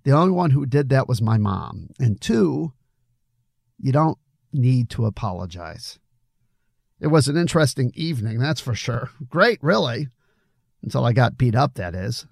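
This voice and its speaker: Man playing an earnest, gravelly young friend. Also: medium pitch, very animated, moderate pace, darkish tone, no room sound, clean studio sound. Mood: friendly, reassuring. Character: good-hearted, concerned, plain-spoken young male friend.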